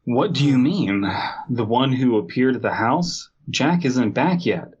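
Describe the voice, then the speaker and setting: A man's voice: mid-range voice, expressive, unhurried, a little mellow, breathy edge. A man co-hosting a comedy podcast, riffing and reading a story aloud in a casual way, on slightly muffled audio. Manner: joking, riffing. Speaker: podcast co-host.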